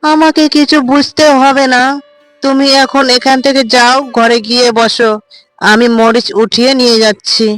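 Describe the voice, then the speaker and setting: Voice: little pitch movement, normal speed, fairly bright tone, soft background music. A voice actor playing a dutiful young unmarried village woman in a cartoon, earnest and pleading. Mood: insistent. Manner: earnest, pleading, somewhat shrill. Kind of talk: cartoon voice acting.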